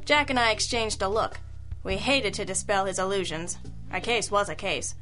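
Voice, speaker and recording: A woman performing as a sassy girl detective with a bright, forceful voice at an average pitch. She is sharp-witted and worldly, delivering old-time radio detective lines with crisp, wry poise. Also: moderate pace, soft background music, lightly acted. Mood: wry, appraising.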